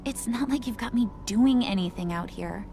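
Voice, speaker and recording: Woman as a higher-voiced, rebellious teenage girl. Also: moderate pace, dark tone, lightly acted. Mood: sulky, frustrated. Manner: sullen, complaining. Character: bored, rebellious, impatient teenage daughter. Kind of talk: dramatic game cutscene acting. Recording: music behind the dialogue.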